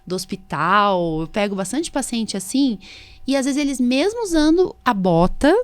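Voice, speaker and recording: A woman's voice: fairly high pitch, big pitch swings, normal speed. A Brazilian woman hosting a casual medical podcast as a doctor, chatty and anecdotal, often checking for agreement. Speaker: podcast host, allergist doctor.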